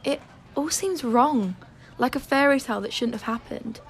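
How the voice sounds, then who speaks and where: A woman's voice: on the high side, normal speed, close to an everyday voice. A woman playing a guarded, sceptical young loyal friend in an audio drama, hesitant and doubtful.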